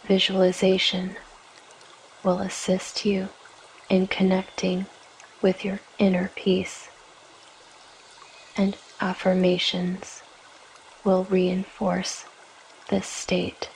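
A woman guiding a yoga nidra meditation in a gentle, soothing, measured way, leaving long pauses between short phrases. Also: medium pitch, completely flat delivery, a little hiss or hum, low-quality recording. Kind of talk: guided meditation, scripted narration.

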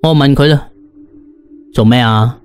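A man telling a dramatised story in the first person, in a conversational, expressive way. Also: mid-range voice, a little slow, clear, resonant tone, soft background music. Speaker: audiobook narrator.

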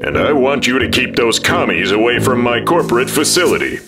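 A man acting as a boastful, brusque tycoon in his fifties, in an old-style radio play. The delivery swings widely in pitch, with music clearly behind it. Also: sing-song, brisk, lightly acted. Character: brash, impatient corporate boss in his fifties. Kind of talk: 1950s radio drama acting.

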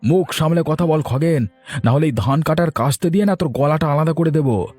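Casting: male actor for poor cartoon farmer husband, earnest, soft dark tone, slightly high for a man. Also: brisk, soft background music, lightly acted. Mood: defiant. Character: long-suffering, poor village farmer husband. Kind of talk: Bengali fairy-tale cartoon acting.

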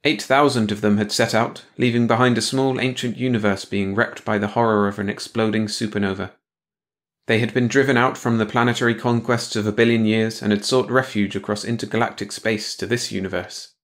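Lowish-voiced man narrating a story. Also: fairly even intonation, fairly quick, a bit bright. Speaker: audiobook narrator. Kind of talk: scripted story narration, bedtime read-along.

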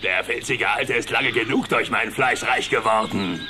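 A man's voice: fairly low pitch, moderate pace, background noise, natural voice. A man playing a gloating, sneering gang boss in a German cartoon radio play. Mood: menacing. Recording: music behind.

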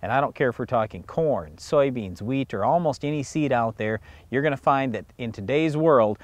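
A man's voice: medium pitch, expressive, normal speed, no echo. A middle-aged man from the United States hosting a farm show, explaining steadily and plainly, outdoors in a field on a lapel mic. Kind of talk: scripted educational farm talk.

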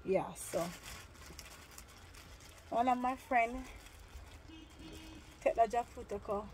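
A young woman with a fairly high voice, talking straight to her viewers in a relaxed, chatty way. She records on a phone held at arm's length outside under a shed roof, with faint outdoor noise behind her. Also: takes it slowly, rough recording. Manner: chatty, talks straight to viewers while eating. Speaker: vlogger. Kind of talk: casual cooking vlog chat. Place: outdoors under a tin-roofed shed in a yard.

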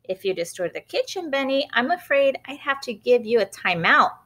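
Gentle mother role, a woman reading it with a lively, bright voice. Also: sits high, normal speed, pitched above the performer's own voice, heavily acted. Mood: patient. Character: patient loving mother, exasperated.

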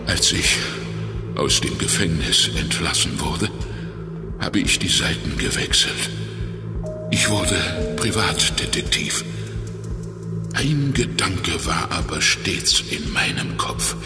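An actor playing a weakened, injured ex-crook turned private detective in a children's audio drama, confessing in a halting, strained and determined way. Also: male voice, low-pitched, lively intonation, full character voice. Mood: strained, grave. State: weak and exhausted from injury. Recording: echoey reverberant hall, music underneath.

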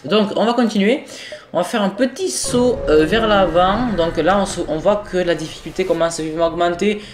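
A man's voice: high-pitched, fairly quick, a little hiss or hum. A young man with a cold, casually commentating his own gameplay, exasperated and swearing as things go wrong. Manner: swearing, exasperated, talking to himself while playing. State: sick with a cold. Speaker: gaming video host. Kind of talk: casual let's-play commentary.

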